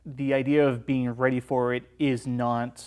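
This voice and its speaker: A man's voice: medium pitch, flat delivery, unhurried, darkish tone, clear, resonant tone. A man appearing as a guest on a film review show, giving his thoughts in casual discussion.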